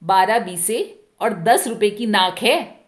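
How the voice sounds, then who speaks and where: A woman's voice: medium pitch, lively intonation, slow. Woman reading as a haughty, defiant young wife. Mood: haughty, teasing. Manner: sharp retorts, haughty indifference. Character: proud, defiant young village wife, vain of beauty. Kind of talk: dramatic Hindi short story reading.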